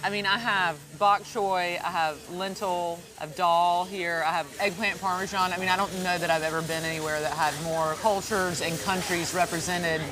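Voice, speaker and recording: A woman's voice: lowish voice, steady tone, normal speed, hardly any pauses, a crowd in the background. A woman hosting a travel food show. She asks curious questions, laughs easily, and narrates in between. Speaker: host. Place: outdoors at farms and markets.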